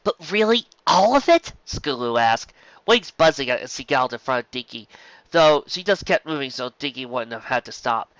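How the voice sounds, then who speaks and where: A narrator reading a story aloud in an expressive audiobook style. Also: medium pitch, normal speed, no room sound, lo-fi sound.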